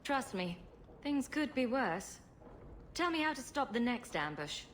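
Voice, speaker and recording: An actress voicing a confident, sarcastic rogue adventurer in a video game, cool and commanding. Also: female voice, a little high, very animated, a little slow, a little hiss or hum. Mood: firm, persuasive. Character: confident, wry young female rogue adventurer. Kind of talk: video game cutscene acting.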